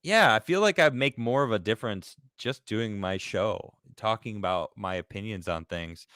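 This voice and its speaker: Laughing talk show host, dry sound. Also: male voice, fairly low pitch, normal speed, clear, resonant tone, no echo. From Chicago, United States. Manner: laughs often, reads viewer comments aloud in a lively voice. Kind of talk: casual live-stream chat.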